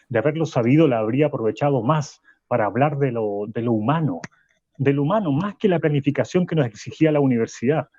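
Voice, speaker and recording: An actor playing a weary, earnest professor interviewed in a radio drama, speaking haltingly and repeating words. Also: male voice, mid-range voice, darkish tone, dry recording, muffled recording, natural voice. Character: weary, regretful male professor, earnest and troubled. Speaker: interview guest.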